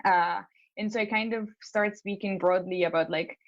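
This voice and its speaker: A woman's voice: medium pitch, fairly even intonation, normal speed, no room sound, lo-fi sound. A young woman from Spain, a law school applicant, talking through her plans in a casual consultation call, hesitant with many fillers and restarts.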